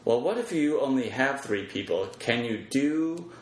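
A man in a casual explanatory chat as a podcast guest, talking in a halting way as he thinks aloud. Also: medium pitch, expressive. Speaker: podcast guest, web developer. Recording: echoey room with faint background noise.

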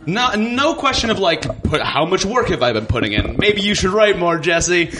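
Man, higher-pitched, stand-up comedian, halting and self-conscious, conversational, clearly noisy live stage sound. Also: sing-song. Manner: halting, self-conscious, stammering restarts, nervous chuckles.

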